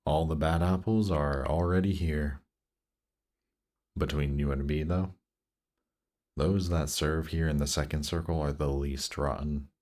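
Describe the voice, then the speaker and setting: A man playing a seductive demon prince in an intimate roleplay audio drama, teasing and coaxing in an unhurried way. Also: extremely low pitch, steady tone, darkish tone. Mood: smooth, musing.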